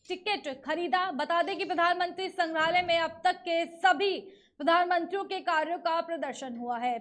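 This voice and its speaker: A very high-pitched woman's voice with an Indian accent delivers scripted news. She reads as a news presenter, moving through each report in narration style. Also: a bit bright.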